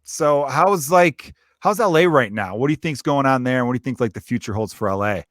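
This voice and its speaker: Man, thirties, mid-pitched, friendly and encouraging, dry close-miked sound. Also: animated, fairly quick, a bit bright, no echo. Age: 30s. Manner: friendly, encouraging, talks in loose run-on sentences. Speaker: podcast host, comedian. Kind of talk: casual chat.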